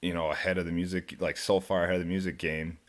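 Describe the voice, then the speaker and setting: A young man with a deep, low voice, a country musician guest in a studio, talking in a laid-back, rambling way and laughing often. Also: level tone, quick pace. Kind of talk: casual interview chat. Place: radio studio.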